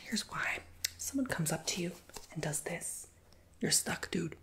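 A woman whispers in a fairly low, breathy voice. She plays a shop clerk in an ASMR roleplay, chatting playfully to a customer. Her delivery is informal, with slangy little asides. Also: animated, unhurried, fairly bright tone. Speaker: ASMR performer playing a shoe shop clerk.

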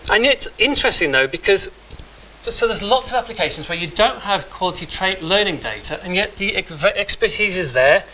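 A man's voice: high voice, animated, normal speed, sharp tone, slight background noise, poor audio. A middle-aged man giving a live talk with a demo in a meeting room, speaking conversationally with frequent restarts and fillers. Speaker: conference speaker.

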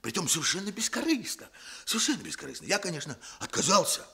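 A man acting in a Soviet radio drama as a frightened, evasive Sicilian suspect, obsequious and stammering under interrogation, with a husky voice. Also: high-pitched, very animated, fast talker, pushed and bright, exaggerated voice. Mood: evasive, pleading.

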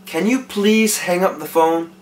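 A man's voice: high voice, unhurried. A young man playing an irritated, insistent caller in an amateur comedy sketch. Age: young adult. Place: living room. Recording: echoey living room.

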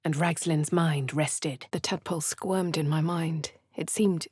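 A posh female adventurer with a posh English accent, recounting her fights in first person. Her voice sits a little low and has a husky grain, recorded dry and close in a game studio. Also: steady tone, a little slow, darkish tone, no room sound. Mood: measured. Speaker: player character voice.

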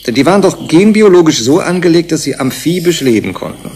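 An actor playing a puzzled, probing investigator in a German radio drama, deliberate and questioning. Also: male voice, on the high side, animated, a little mellow, slight background noise, lightly acted. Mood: puzzled, insistent. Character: puzzled, probing male outside investigator named Takayama.

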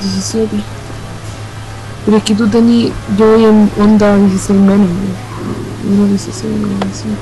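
A woman with a Chilean accent playing a game with friends, loudly complaining and repeating herself. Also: medium pitch, little pitch movement, normal speed, over music, poor audio. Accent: Chilean Spanish. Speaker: gamer. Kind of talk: casual gameplay chat.

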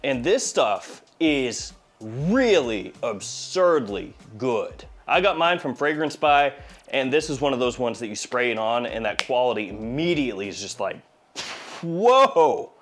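A man in his forties hosts a casual review with a slight head cold. His pitch moves up and down in an animated way, and he drops in playful, wry asides. Soft music plays behind him. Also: measured pace. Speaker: fragrance review channel host. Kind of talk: casual video product review. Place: small indoor studio.

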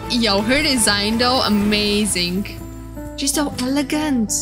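A young woman streamer giving casual, excitable, gushing live reaction commentary. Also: on the high side, slow. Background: game trailer music.

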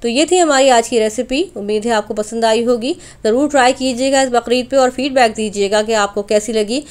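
A woman with a fairly high voice narrates as the host of a cooking channel. She speaks in an instructional way, guiding viewers directly through each step as a tutorial voice-over. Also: fairly quick, darkish tone.

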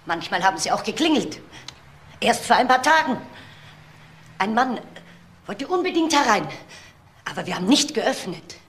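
An actress playing a frank, gossipy house cook in a crime radio drama, telling things matter-of-factly. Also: female voice, fairly high pitch, sing-song, normal speed, long pauses, fairly bright tone.

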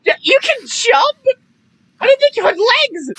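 A very high, bright kid's voice that swoops wildly up and down. A woman plays this flippant young boy from a comedy game dub, rambling and exclaiming with cheek. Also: sing-song, measured pace, heavily acted, rough recording. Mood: startled. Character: cheeky, excitable young boy dodging robots. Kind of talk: improvised comedic game fandub.

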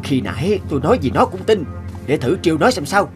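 Man voicing a lively, higher-pitched cartoon working man. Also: fast, music in the background. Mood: sly, teasing. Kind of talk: animated story dubbing.

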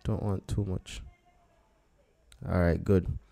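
A man with a low, mellow voice walks through a photo edit on screen. He talks in an easy, unhurried way, thinking aloud as he clicks through each step. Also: vocal fry. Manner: relaxed, thinking aloud while working. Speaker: photographer teaching photo editing. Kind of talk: screen-recorded software tutorial.

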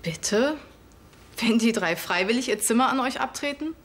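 A woman's voice: a little high, lively intonation, moderate pace, a little hiss or hum, close to an everyday voice. Actress as firm, sceptical schoolgirl. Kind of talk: TV series acting.

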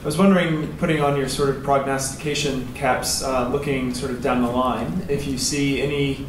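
A man in the audience asks the panel a long, hesitant question full of fillers. His delivery is flat and even. He sounds distant, in an echoing lecture hall with clear background noise. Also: medium pitch, normal speed. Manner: rambling, hesitant. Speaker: audience member, PhD student. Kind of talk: asking a question at a seminar. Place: lecture theatre. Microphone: roving handheld microphone. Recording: roomy hall, distant, noisy.

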